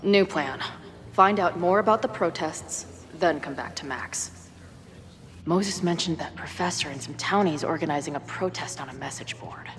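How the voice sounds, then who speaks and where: A woman's voice: low-pitched, moderate pace, crowd noise, close to an everyday voice. An actress playing a wry, laid-back young woman in a video game, talking casually. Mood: determined. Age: young adult. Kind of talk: video game voice acting, casual dialogue and inner monologue. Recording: music behind the voice. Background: music.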